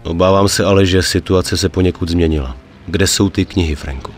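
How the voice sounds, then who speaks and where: A man's voice: low voice, soft background music, natural voice. A man playing a terse, grave mafia enforcer with a conscience in a dubbed video game. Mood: stern, controlled. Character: stern, conflicted male mafia enforcer with a conscience.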